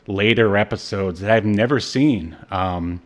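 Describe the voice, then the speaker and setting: A man's voice: fairly low pitch, unhurried. A man hosting a podcast in casual chat, with a joking, self-deprecating manner. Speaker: podcast host. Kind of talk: casual chat about obscure media.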